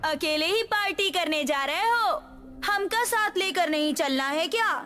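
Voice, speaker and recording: A woman's voice: extremely high pitch, animated, unhurried, bright, forceful tone, pitched above the performer's own voice, heavily acted. A woman voicing a cheeky, bossy young village woman in a horror cartoon, with rustic phrasing. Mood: teasing, bossy.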